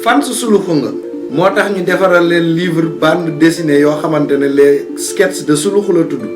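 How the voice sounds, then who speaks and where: Male announcer, Senegalese accent, high-pitched voice, promotional voice-over, prominent plucked guitar underneath, roomy sound. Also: moderate pace. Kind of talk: promotional voice-over addressed to viewers. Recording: echoey, guitar music behind. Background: plucked guitar music.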